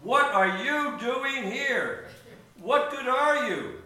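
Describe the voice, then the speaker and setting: In a highly reverberant room, a man performs a big storybook rooster. He is bossy, hostile and loud, with a high, forceful, commanding voice. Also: measured pace, heavily acted. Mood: indignant. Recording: echoey room, distant mic, faint noise.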